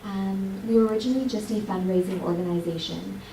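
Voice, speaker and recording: A soft-spoken woman from a cancer charity giving a community presentation, hesitant, with many uhs. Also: mid-range voice, fairly quick, gravelly, a little hiss or hum. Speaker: charity representative. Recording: distant mic in a roomy hall.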